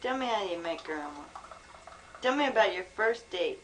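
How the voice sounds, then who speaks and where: A woman's voice: medium pitch, animated, normal speed. Young female, casual and teasing interviewer, mid-range voice, amateur camcorder sound with faint noise. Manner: playful, coaxing, giggly. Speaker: granddaughter filming and asking questions. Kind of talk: casual chat. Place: small home, indoors. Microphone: handheld camcorder, close behind camera. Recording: noisy amateur home video.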